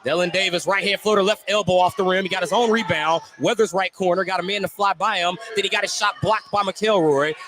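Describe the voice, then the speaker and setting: A man calling a game as a play-by-play radio announcer, with a brisk announcer's delivery. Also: high voice, music in the background. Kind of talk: live sports radio commentary.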